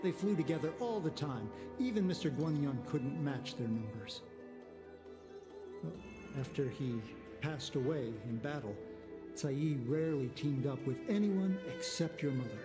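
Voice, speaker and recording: A man voicing a discreet, long-lived officer in a video game, speaking in a measured, formal and solemn way. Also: a little high, lively intonation, music in the background. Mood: fondly reminiscing.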